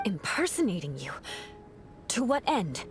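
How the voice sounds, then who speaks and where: A woman's voice: medium pitch, very animated, measured pace, music in the background. A woman voicing a haughty, stern young female knight in a video game, imperious and formal in her delivery. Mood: skeptical. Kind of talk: video game character acting.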